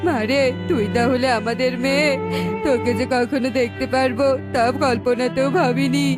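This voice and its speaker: A woman's voice: high voice, steady tone, moderate pace, natural voice. A woman voicing a wretched, repentant old father crow in a cartoon fable, plaintive and sorrowful. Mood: tearful, amazed. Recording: music bed behind.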